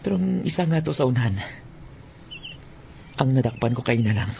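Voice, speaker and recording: Radio drama: an excitable young trapper and son, Western Visayan accent, greedy and gleeful, widely swooping voice. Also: male voice, medium pitch, moderate pace, gravelly, slight background noise. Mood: happy, proud. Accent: Filipino, Western Visayan. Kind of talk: Philippine radio drama acting.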